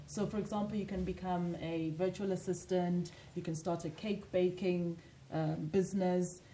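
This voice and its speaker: A woman's voice: on the low side, fairly even intonation, normal speed, background noise. A woman from Zimbabwe, a lifestyle coach and author, speaking earnestly and motivationally as a guest in a TV studio interview.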